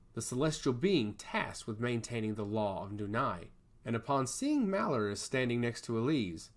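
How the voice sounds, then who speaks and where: A man narrating a scripted fantasy story in a dramatic, emphatic way. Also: medium pitch.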